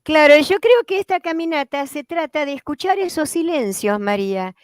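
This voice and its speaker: A woman's voice: high voice, lively intonation, normal speed, no room sound, studio quality. A woman hosting an online reading talk, searching for her words with many fillers. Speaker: presenter. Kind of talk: hosting conversation.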